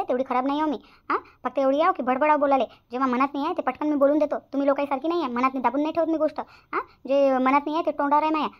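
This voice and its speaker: Woman acting fast, high-pitched blunt sister-in-law. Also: natural voice. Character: blunt, quarrelsome young sister-in-law at a family engagement. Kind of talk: Marathi family comedy cartoon dialogue. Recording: slightly dull lo-fi audio.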